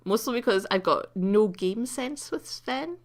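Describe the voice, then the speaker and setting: A woman giving casual, rambling commentary over a video game replay, croaky from having just woken up and mocking her own play between many ums. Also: mid-range voice, lively intonation, measured pace.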